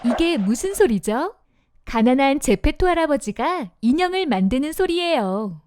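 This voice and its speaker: A woman narrating a children's storybook in an expressive, sing-song manner. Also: sits high, normal speed, no room sound, clean studio sound.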